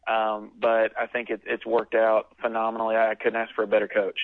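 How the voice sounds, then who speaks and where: A man speaks in a very even, flat voice down a tinny phone line. He sounds relaxed and thoughtful as he answers. His voice is close and dry. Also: lowish voice, a little fast, no echo. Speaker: singing contestant, phone guest. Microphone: telephone.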